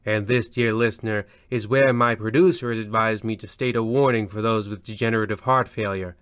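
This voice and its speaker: An actor playing a pompous, petty host of an old-time radio horror parody who rambles off into digressions. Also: male voice, lowish voice, steady tone, fairly quick, fairly bright tone, clear, resonant tone, no echo, studio quality, close to an everyday voice. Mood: mock-solemn. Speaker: narrator and host. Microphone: close studio microphone in recording booth.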